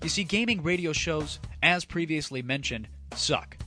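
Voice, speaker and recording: A man's voice: on the high side, animated, moderate pace, bright, forceful tone. A man hosting an online gaming comedy show, delivering a scripted, crude and sarcastic rant in a theatrical, mock-grandiose way. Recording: music under the voice. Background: music.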